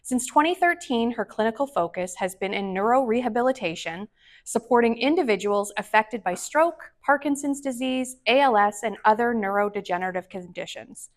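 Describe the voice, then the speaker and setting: A woman's voice: a little high, expressive, normal speed. A woman moderating a conference session, reading an upbeat, formal introduction with slight stumbles. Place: conference breakout room.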